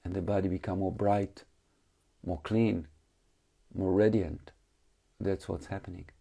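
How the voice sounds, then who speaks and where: A man with a deep, dark and relaxed voice leads a guided meditation. He gives calm instructions in short phrases, leaving long gaps between them. His English phrasing is slightly non-native. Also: unhurried, vocal fry, husky. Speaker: meditation teacher.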